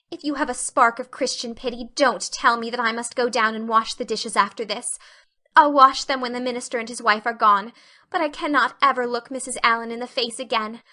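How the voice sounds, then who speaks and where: A woman's voice: on the high side, pushed and bright. A woman voicing an effusive, dramatic orphan girl in an audiobook reading. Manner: effusive, melodramatic.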